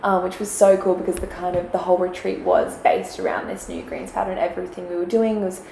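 A young woman co-hosting a casual chat, her voice croaky as she talks chattily with lots of fillers and giggles. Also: fairly low pitch, level tone, quick pace, dark tone. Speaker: podcast co-host. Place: quiet room, shared mic. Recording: echoey room, faint background noise.

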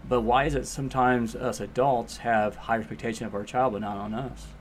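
A man's voice: mid-range voice, steady tone, brisk, slight background noise. A man who teaches martial arts, chatting on a podcast and coaching parents with questions. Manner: coaching, asks questions to make a point. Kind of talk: casual podcast chat.

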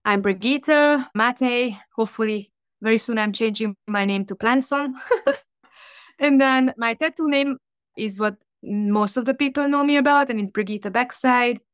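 A woman's voice: a little high, measured pace, dry recording, clean studio sound. A woman in her thirties from Hungary, with a Hungarian accent, chatting as a podcast guest and laughing easily. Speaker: podcast guest, tattoo artist. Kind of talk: casual storytelling.

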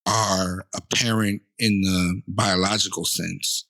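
A man's voice: low-pitched, fairly even intonation, takes it slowly, pushed and bright. A man with a lisp and a stutter, chatting casually and emphatically on a podcast, full of "you know" fillers.

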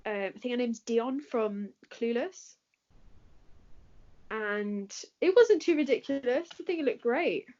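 A woman's voice: fairly high pitch, animated, a little slow, darkish tone. A woman with an English accent answering questions in a casual chat, talking with plenty of fillers and laughing easily. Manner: chatty, laughs often, many fillers. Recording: dull lo-fi recording.

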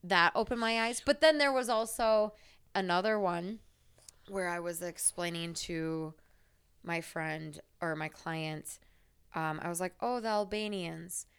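A woman's voice: lowish voice, unhurried, fairly bright tone, smooth. A woman co-hosting a casual podcast, telling stories in a candid, rambling way and swearing freely. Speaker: podcast co-host, hairstylist.

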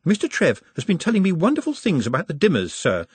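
A man's voice: a little high, huge pitch swings, quick pace, breathy edge, no room sound, voice pushed up, heavily acted. A man voicing a skinny young male goblin who speaks in a formal, deferential, apologetic and earnest way for a storybook. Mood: earnest, courteous.

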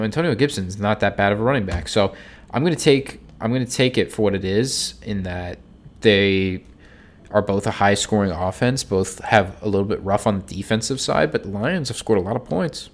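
Nasal man with a cold, low voice. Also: normal speed. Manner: low-energy, many ums and restarts. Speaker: sports betting podcast host. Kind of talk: casual solo sports picks talk.

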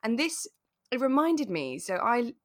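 A woman co-hosting a podcast, chatting in a relaxed, explanatory way with playful asides. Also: on the high side, unhurried, no room sound, studio quality. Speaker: podcast co-host. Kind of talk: relaxed casual discussion of a radio drama.

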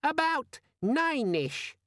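A man voicing a tiny sulky demon in a gadget, with a squeaky voice and an indignant, needling manner, for a storybook. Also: big pitch swings, extremely slow, bright, forceful tone, dry recording, clean studio sound, exaggerated voice. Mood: chirpy. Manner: indignant, needling, falsely cheerful. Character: tiny sulky demon inside a pocket gadget, sarcastic and nagging.

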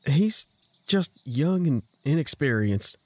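A man's voice: a little high, big pitch swings, slow pace, full character voice. A man playing a patient, protective farm brother, earnest and coaxing, in an audio drama. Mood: pleading.

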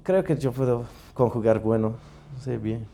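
A man from England, a native English speaker with an English accent, co-hosting a podcast in casual chat and speaking halting learner's Spanish with English words slipped in. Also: medium pitch, expressive, gravelly, mellow. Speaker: podcast co-host.

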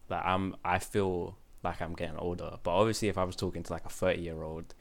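A man's voice: low-pitched, flat delivery, brisk, gravelly. A man in his twenties hosting a podcast, chatting casually with lots of fillers and restarts. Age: 20s. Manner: rambling, many fillers and restarts. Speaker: podcast host. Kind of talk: casual chat.

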